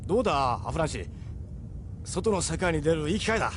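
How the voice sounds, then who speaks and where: A man's voice: fairly high pitch, very animated, fairly quick, a little hiss or hum. A man voicing a seasoned, middle-aged ship captain in an audio drama, persuasive, frank and worldly. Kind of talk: Japanese audio drama acting.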